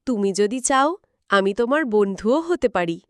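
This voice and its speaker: A woman voicing a gentle young fairy girl in a cartoon who speaks calmly and reassuringly. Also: medium pitch, a little slow, no echo, clean studio sound, natural voice. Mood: calm, curious.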